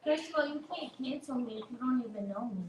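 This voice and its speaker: A woman's voice: high voice, animated, quick pace, darkish tone, reverberant, rough recording. A woman, a playful, defensive anonymous guest on a live stream. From New York City. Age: 20s.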